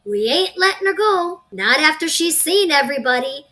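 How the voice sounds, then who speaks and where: A woman's voice: sits high, lively intonation, normal speed, bright, forceful tone. A woman playing a brash, foul-mouthed crime gang boss in an audio drama, impatient and sarcastic. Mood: bossy, impatient.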